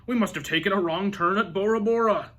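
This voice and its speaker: A man voicing a gruff, boisterous head pirate in a storybook, bellowing orders. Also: high-pitched, a little fast, a bit bright, voice pushed up. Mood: hearty greeting. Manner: bellowing, commanding. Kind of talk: storybook character voice with pirate talk.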